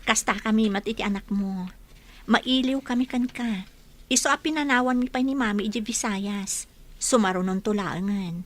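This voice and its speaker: A woman's voice: mid-range voice, moderate pace, close to an everyday voice. A woman with an Ilocano accent acting a watchful, questioning wife and mother in a radio comedy-drama, pointed in manner. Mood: homely.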